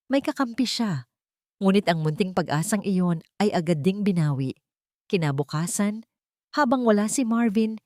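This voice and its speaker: A woman's voice: medium pitch, dark tone, no room sound, studio quality. A woman with a Filipino accent reading scripted, dramatic story narration.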